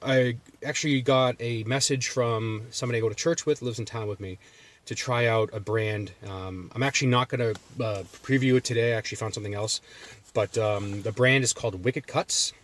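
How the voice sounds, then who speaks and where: Casual man with a Boston accent, mid-pitched voice, talking from a parked car in a loose, rambling vlog style. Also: fairly even intonation, brisk. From Massachusetts. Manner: rambling, loose, with many fillers and restarts. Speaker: jerky reviewer.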